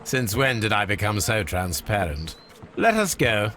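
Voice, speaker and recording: A brisk Victorian English gentleman sleuth for a video game. His voice sits on the low side and swings expressively, with precise, dry wit. There is faint ambient noise behind him. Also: very animated. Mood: wry amusement. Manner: formal, precise, dryly witty.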